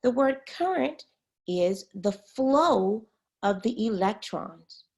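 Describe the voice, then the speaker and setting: A woman reading a children's science book aloud as a teacher, expressively and pausing to explain words. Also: fairly high pitch, animated, gravelly.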